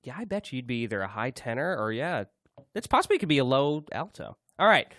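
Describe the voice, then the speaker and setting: Young male co-host, animated pitch, playful, dry home recording. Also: fast talker, dry recording. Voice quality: can go very low. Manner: playful, imitates instrument sounds. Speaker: podcast co-host. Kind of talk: casual chat.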